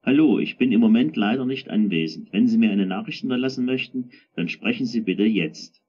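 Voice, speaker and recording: Husky man on a dull voicemail recording. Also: on the low side, expressive, a little fast, dark tone.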